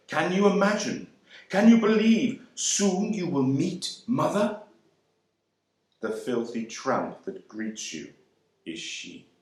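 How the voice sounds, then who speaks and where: An actor brings to life a proud young airman with a wry, measured way of talking. He speaks slowly, with deliberate pauses, at an ordinary mid pitch. The voice rings out in a very echoey room. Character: young Jamaican man serving in the air force, proud and wry. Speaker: actor reading a monologue. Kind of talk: dramatic monologue reading.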